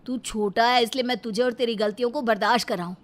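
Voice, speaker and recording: A woman's voice: on the high side, steady tone, fast talker, natural voice. A woman voicing a domineering, hot-tempered elder brother in a storybook drama, scolding and accusatory. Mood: angry. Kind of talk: acted storybook dialogue.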